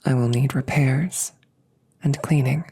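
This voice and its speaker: A woman voicing a calm, protective female combat android in an intimate audio drama, softly and with measured, formal, soothing phrasing. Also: a bass voice, exaggerated voice. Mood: measured, factual. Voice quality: soft, breathy, often near a whisper. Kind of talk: intimate roleplay audio drama, sleep aid.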